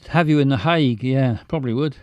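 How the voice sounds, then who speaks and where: A man's voice: mid-range voice, quick pace, no room sound, highs cut off. Brief: stammering man in chatty livestream, close and dry. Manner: stammering, rambling, self-mocking. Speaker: livestream host. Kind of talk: casual chat with viewers.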